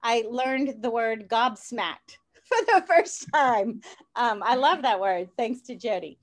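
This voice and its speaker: Enthusiastic podcast host from New Orleans, a woman with a raised pitch. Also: animated, slow. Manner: enthusiastic, chatty. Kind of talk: podcast interview.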